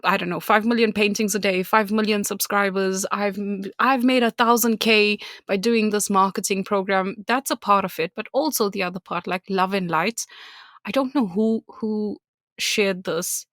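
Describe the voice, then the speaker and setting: A woman's voice: medium pitch, fairly even intonation, moderate pace, a bit bright, dry recording, studio quality. A woman from South Africa with a South African accent, co-hosting a podcast in candid casual chat, laughing often and ranting freely. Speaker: podcast co-host.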